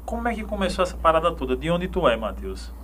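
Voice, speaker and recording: A man from Paraíba with a Northeastern Brazilian accent, co-hosting a podcast in a quick, informal, jokey way. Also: fairly high pitch, sing-song, a bit bright, slight background noise. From Paraíba backlands, Brazil. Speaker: podcast co-host. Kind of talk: casual podcast interview.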